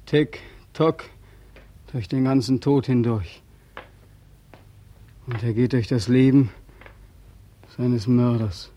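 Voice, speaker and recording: An actor playing a tormented, despairing soldier home from war in a 1940s radio drama, anguished and halting. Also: male voice, mid-range voice, lightly acted. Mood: dazed. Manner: anguished, halting, swinging from hush to outcry. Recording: old 1940s radio recording.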